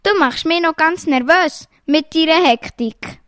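A woman reading jokes aloud in a lively storytelling way, changing her voice for the quoted lines. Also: extremely high pitch, a little slow, no echo, clean studio sound.

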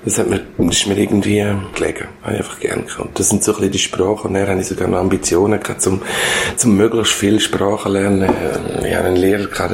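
An older man with a Swiss German accent, reflecting in an interview in a halting way, often searching for words. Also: deep voice, gravelly, a little hiss or hum. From Switzerland. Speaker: former schoolteacher being interviewed.

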